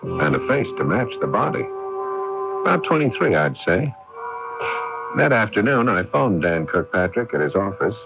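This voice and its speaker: Easygoing low-voiced sculptor, lively intonation. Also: male voice, moderate pace, gravelly, long pauses, over loud music. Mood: wry, narrating. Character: easygoing, slightly bashful male sculptor narrating his story. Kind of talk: early 1980s radio drama narration and dialogue. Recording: very noisy, muffled old AM radio recording.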